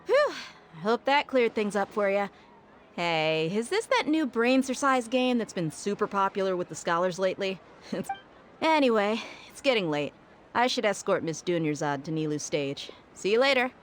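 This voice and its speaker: A woman's voice: mid-range voice, big pitch swings, normal speed, slight background noise, natural voice. A woman voicing a confident, disciplined young female mercenary fighter in a video game, explaining things in an assured, easygoing way. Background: faint game music.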